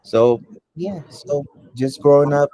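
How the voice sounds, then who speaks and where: A man from Suriname, Dutch his first language, talks slowly with a dark, mellow, fairly low voice. He pauses often and fills the gaps with ums. The sound is close and dry. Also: no room sound, dull audio. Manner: unhurried, many ums and pauses. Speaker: interviewee. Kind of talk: casual interview answers.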